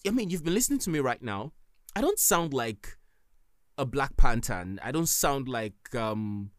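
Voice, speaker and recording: A man's voice: mid-range voice, big pitch swings, moderate pace, dry recording, studio quality. A man with a Nigerian accent, hosting a solo podcast and giving earnest, emphatic advice. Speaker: podcast host.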